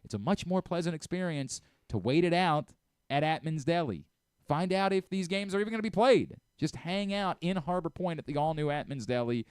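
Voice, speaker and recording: A man's voice: medium pitch, a little mellow, clear, resonant tone, no room sound. Man hosting talk radio, dry studio sound. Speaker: radio show host. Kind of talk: animated casual chat and live ad reads.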